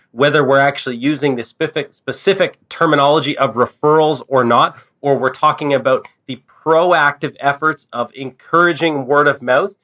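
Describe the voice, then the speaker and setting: A man's voice: mid-range voice, a bit bright. A Canadian man hosting a casual business podcast, a little stuffed up from a cold, talking loosely with fillers and restarts. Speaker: podcast host.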